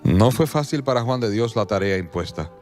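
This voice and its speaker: A man narrating a radio drama in a measured storytelling manner, with soft violin music behind. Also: on the low side, expressive.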